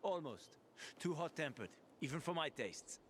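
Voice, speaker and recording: A man's voice: a little high, lively intonation, normal speed, slight background noise, natural voice. An actor voicing a roguish, boastful man with a wry, casual manner that includes swearing, in video game dialogue. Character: roguish older male schemer, boastful. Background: music.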